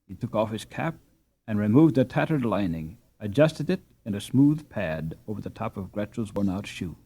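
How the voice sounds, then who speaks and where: A man reading a story aloud as an audiobook narrator, in an expressive, dramatic storytelling manner. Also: lowish voice, moderate pace, husky.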